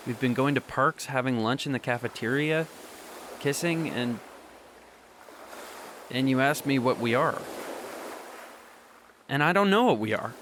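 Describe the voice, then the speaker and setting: Guy playing a yearning high school boy in an audio drama, halting, unsure, kinda confessional. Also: a bit bright, a little hiss or hum, close to an everyday voice. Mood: confused, anxious.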